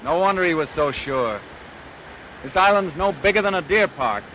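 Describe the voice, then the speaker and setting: An actor playing a resolute, protective castaway hunter in a brisk, confident, old-time radio drama style. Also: male voice, on the high side, expressive, bright, forceful tone. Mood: urging, determined. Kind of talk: 1940s radio drama acting. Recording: muffled, noisy old-time radio recording.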